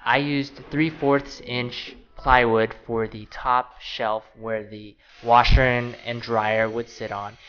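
A man in his thirties hosting a home DIY channel, explaining a woodworking build in a relaxed, casual way with frequent fillers. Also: mid-range voice, takes it slowly, few pauses, muffled recording. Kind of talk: casual how-to narration. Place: small home laundry room, then voice-over over garage workshop footage. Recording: voice over clear background music. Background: background music.

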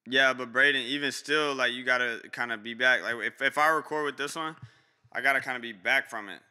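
A man's voice: fairly quick, bright, forceful tone, long reverb tail. Blunt, slangy young man streamer. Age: young adult. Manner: blunt, slangy, swears, jumps high in pitch when reacting. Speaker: music review streamer. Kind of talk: casual live-stream critique. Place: small home studio room. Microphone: studio mic close up, headphones on.

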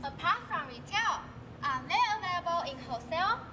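A woman's voice: very high voice, lively intonation, brisk, background noise. A young woman in a student stage skit, delivering lines in an exaggerated, theatrical way. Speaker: student performer. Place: large school auditorium stage.

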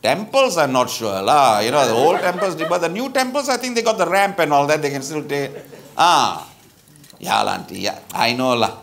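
A tactless middle-aged Malaysian lawyer in a stage comedy. His voice sits fairly high and swings up and down with animation, blunt and chatty, full of colloquial particles. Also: expressive, normal speed, gravelly, fairly bright tone, slight background noise. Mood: persuasive, breezy. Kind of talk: live stage comedy sketch. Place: theatre stage with bar set, live audience. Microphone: lapel mic.

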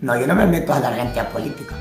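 An older man from El Salvador arguing emphatically in a casual on-camera interview, animated and gesturing. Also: mid-range voice, fast talker, vocal fry. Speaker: self-styled apostle. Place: small indoor room. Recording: echoey room with faint music.

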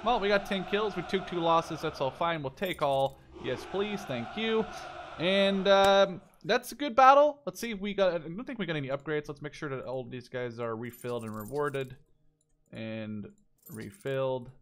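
A man in his twenties giving casual let's play commentary, thinking out loud in short phrases as if talking to himself. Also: a little high, normal speed, a little hiss or hum. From Canada or Netherlands. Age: 20s. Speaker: gaming YouTuber playing and commentating.

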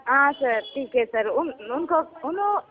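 A woman's voice: high-pitched, animated, takes it slowly. A polite, deferential woman making a telemarketing sales call. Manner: polite, deferential, says sir constantly. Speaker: credit card telemarketer. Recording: tinny, noisy phone line.

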